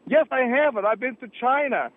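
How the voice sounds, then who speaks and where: Brief: argumentative male caller, high voice, phone-line sound, keeps cutting in. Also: fairly quick. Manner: heated, argumentative, interrupting.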